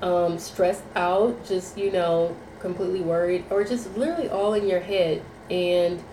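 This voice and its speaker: A woman's voice: fairly low pitch, steady tone, measured pace, dark tone. A woman with a cold giving a tarot reading to viewers, sounding nasal and rambling through repeated phrases and fillers. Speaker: tarot reader. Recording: echoey room, faint background noise.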